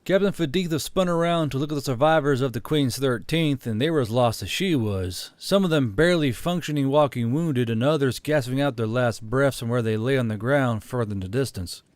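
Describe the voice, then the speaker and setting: A man's voice: mid-range voice, brisk, hardly any pauses, no room sound. A man reading scripted fiction as an audiobook narrator, close to the microphone.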